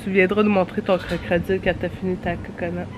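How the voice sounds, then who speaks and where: A woman's voice: lowish voice, little pitch movement, fast talker. A young woman with a Québécois accent and a stuffy cold, chatting casually and laughing often in a travel vlog, filmed outdoors on a handheld camera. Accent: Québécois French. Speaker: travel vlogger. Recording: outdoor ambience with traffic noise.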